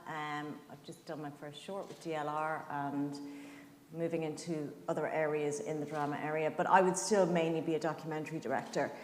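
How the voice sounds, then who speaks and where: A middle-aged woman introduces herself on a panel. Her voice sits on the low side, in a reverberant hall with faint background noise. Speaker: panellist, documentary director. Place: indoor conference hall.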